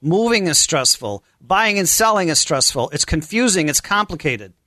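A high-voiced man hosts a live radio show in a dry studio sound. His delivery is effusive and promotional, full of exclamations, with a bright, forceful edge. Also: sing-song, normal speed, dry recording.

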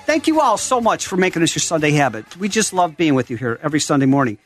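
A man's voice: on the high side, very animated, fast talker, faint music behind. A man hosting a radio talk show, upbeat and enthusiastic, speaking into a studio mic.

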